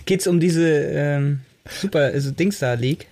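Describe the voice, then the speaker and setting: Gravelly man, halting casual talk. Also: on the high side, darkish tone. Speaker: podcast host. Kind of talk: casual chat.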